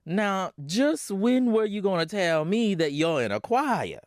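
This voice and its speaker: A man playing a stern, weary mother who scolds her daughter in an emphatic, deliberate way during a dramatic film-scene reading. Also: high voice, big pitch swings, dry recording, clean studio sound. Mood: stern, exasperated.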